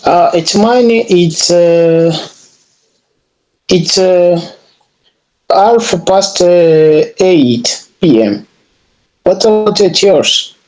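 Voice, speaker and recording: A young African man whose first language is Swahili talks slowly on a video call. He hesitates often, filling gaps and restarting his sentences as he practises conversation. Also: high voice, animated, darkish tone, low-quality recording. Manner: hesitant, many fillers and restarts. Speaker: geologist. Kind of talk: casual language-practice chat.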